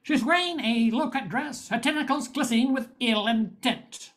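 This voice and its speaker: Woman as a high-voiced hard-boiled space-noir hero. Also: moderate pace, close to an everyday voice. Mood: narrating, wistful. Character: jaded male space-noir bar owner, wry hero. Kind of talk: noir narration and dialogue in radio spoof.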